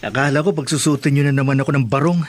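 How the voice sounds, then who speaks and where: An actor playing a humble, dutiful young engineer son in a Filipino radio drama, talking in a modest, conversational way. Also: male voice, medium pitch, very fast, natural voice. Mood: bashful, amused.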